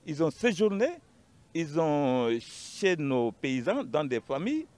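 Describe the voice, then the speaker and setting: A man's voice: a little high, sing-song, takes it slowly, darkish tone. A man with a Malian accent giving an unscripted account as a panel guest, emphatic and repeating his phrases.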